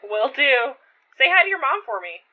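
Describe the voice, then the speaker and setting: A woman's voice: high voice, big pitch swings, moderate pace. A woman playing a teasing, caring young friend in a naturalistic audio drama, casual and wry in manner. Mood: playful, fond. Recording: thin, phone-like narrow band.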